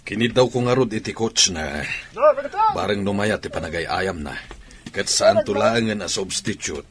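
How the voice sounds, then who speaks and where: A man's voice: fairly low pitch, normal speed, a crowd in the background, close to an everyday voice. A man playing an encouraging, approving sports mentor in an audio drama. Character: encouraging adult male sports mentor, addressed as sir. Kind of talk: Filipino radio-style drama acting.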